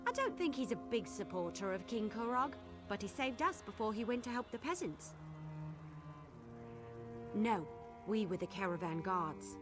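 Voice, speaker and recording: A woman playing a brash, sardonic young caravan guard in a fantasy video game, blunt and expressive. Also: medium pitch, moderate pace, long pauses, over music, close to an everyday voice. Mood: earnest, wry. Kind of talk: video game dialogue acting.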